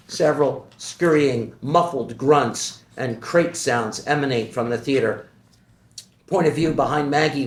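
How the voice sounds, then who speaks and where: A man's voice: medium pitch, a little hiss or hum. Man reading stage directions in an echoey room. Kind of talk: screenplay table read narration.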